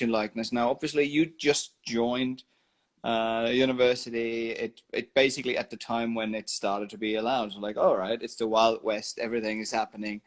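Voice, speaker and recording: Male podcast host, halting delivery with frequent restarts, mid pitch. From Germany. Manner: halting, restarts phrases often. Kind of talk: interview questions.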